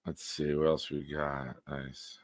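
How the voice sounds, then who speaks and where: Deep smooth-voiced guy in his 40s running a live stream, blunt, swears a lot, dry close mic. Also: little pitch movement, slow pace, dry recording. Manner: banters with chat, blunt and profane. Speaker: live-stream show host. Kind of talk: casual live-stream chat, reading viewer comments.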